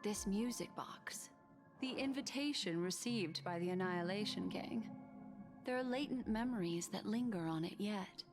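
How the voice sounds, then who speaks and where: An actress as a poised woman of mystery in a video game speaks in a measured, theatrical way. She sounds knowing and ominous, with music clearly playing behind her. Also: medium pitch, close to an everyday voice. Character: poised, ominous woman who reads lingering memories. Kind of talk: scripted video game acting.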